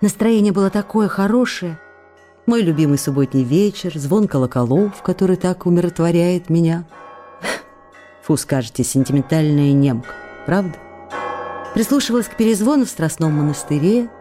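A woman's voice: fairly low pitch, animated, moderate pace, warm tone, close to an everyday voice. An actress playing an affectionate, impulsive stage actress who reads her letters in an intimate, chatty, coy way for a radio play. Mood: wistful, chatty. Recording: radio drama with faint music behind.

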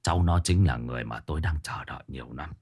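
A man voicing a stern older male exorcist master who gives slow, firm commands. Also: a bass voice, a little mellow, breathy edge, pitched below the performer's own voice, full character voice. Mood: confiding.

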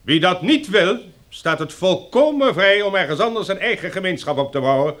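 An actor playing a stern, pompous community leader who lectures in formal, measured oratory in a radio drama. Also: male voice, high-pitched, animated, fairly bright tone. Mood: cool, unbending. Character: stern, pompous male leader lecturing survivors on new rules.

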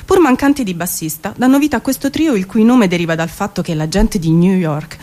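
A woman performing a theatrical spoken-word reading, delivering it in a deliberate, rhythmic way. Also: medium pitch, animated. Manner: deliberate, rhythmic, repeating phrases, at times half-sung. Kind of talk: theatrical poetry reading over music. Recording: loud music under the voice. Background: loud music with violin.

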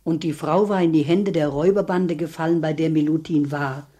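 A woman's voice: deep voice, steady tone, brisk, mellow. An actress in a German radio drama playing a talkative, fervent village woman who tells her stories in a gossipy, insistent way. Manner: gossipy, emphatic, insistent storytelling.

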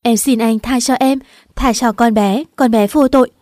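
A woman's voice: fairly high pitch, fairly even intonation, normal speed, a little mellow, no room sound, close to an everyday voice. A woman storyteller acts out a submissive, desperate mother in an audiobook drama. She pleads with raised urgency, and the sound is close and dry. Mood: pleading, terrified.

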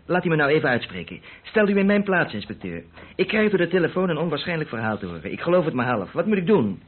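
Flustered haulier, radio drama, halting, self-justifying, husky voice, thin narrow-band sound. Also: male voice, on the high side, sing-song. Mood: defensive, agitated. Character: defensive, flustered male haulage company boss. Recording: muffled narrow-band old radio recording.

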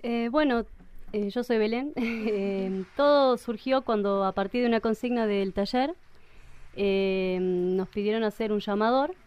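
A woman's voice: medium pitch, a little slow, smooth, lo-fi sound. A woman with an Argentine accent, a radio drama workshop member, explaining hesitantly on a radio show. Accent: Argentine Spanish. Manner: hesitant, giggly. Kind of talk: radio interview.

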